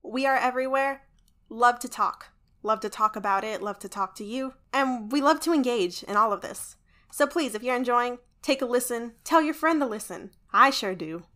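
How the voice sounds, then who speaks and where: A woman's voice: on the high side, moderate pace, bright, forceful tone. A woman announcing for a podcast in a chatty, promotional style, playful and informal.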